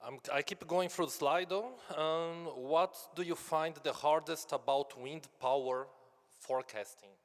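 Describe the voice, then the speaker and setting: A man's voice: a little high, steady tone, a little slow. A man moderating a conference Q&A in a casual manner, reading audience questions aloud. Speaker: session moderator. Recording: reverberant conference hall.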